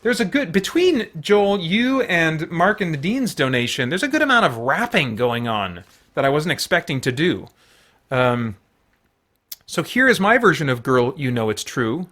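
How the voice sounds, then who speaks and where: A man's voice: on the high side, sing-song, normal speed, a bit bright. Man, livestream host, casual chat, rambling delivery, self-deprecating.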